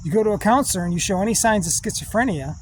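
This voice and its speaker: A man giving a rambling spiritual monologue in slow, drawn-out, meditative phrases with long pauses. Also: sits high, expressive, a little hiss or hum. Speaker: spiritual speaker.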